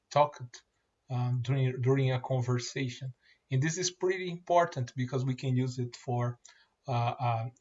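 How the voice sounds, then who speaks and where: Male presenter, native Portuguese speaker, demoing software, mid pitch, halting delivery, muffled recording. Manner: halting, many fillers and restarts. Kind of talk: screen-share product demo.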